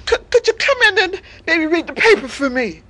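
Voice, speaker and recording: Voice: very high-pitched, sing-song, normal speed, sharp tone, voice pushed up, full character voice. Storyteller putting on a crafty old lion, wheedles and whines, swoops around a lot. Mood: fake whining. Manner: sly, then whining about aches. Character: old scheming male lion, fakes sickness.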